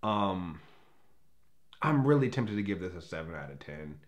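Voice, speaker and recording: A man's voice: low voice, very animated, normal speed. An American man reviewing a film off the cuff in an emphatic, conversational way, often circling back to rephrase his points. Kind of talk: unscripted opinionated movie review.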